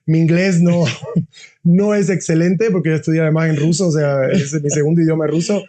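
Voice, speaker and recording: A man's voice: on the high side, fairly even intonation, moderate pace, warm tone. A middle-aged man, a university dean, talking earnestly in a casual interview and often restarting his phrases.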